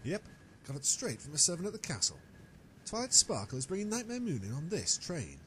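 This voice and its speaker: A knowing, cocky unicorn newshound played by a man in a fan audio drama. His voice is high for a man and swings very widely in pitch. There is faint noise behind him. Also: wildly swooping pitch, fairly quick, bright, forceful tone, heavily acted, low-quality recording. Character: Column, cocky gossiping male unicorn newspaper reporter. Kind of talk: amateur audio drama dialogue.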